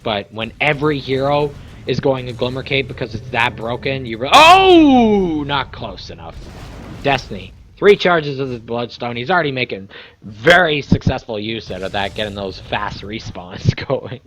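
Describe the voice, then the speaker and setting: A man doing casual play-by-play commentary on a video game match, excitable and rambling, swearing now and then, his voice a little raspy. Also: medium pitch, normal speed, a little hiss or hum. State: voice getting raspy. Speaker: game caster. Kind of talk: amateur esports play-by-play commentary.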